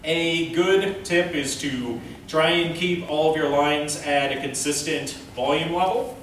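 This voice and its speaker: A man's voice: a little high, unhurried, a bit bright. A man presenting an instructional panel in a casual, step-by-step explanatory way. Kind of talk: instructional convention panel talk. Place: indoor convention panel room, recorded from a distance. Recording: very echoey room, distant mic, faint noise.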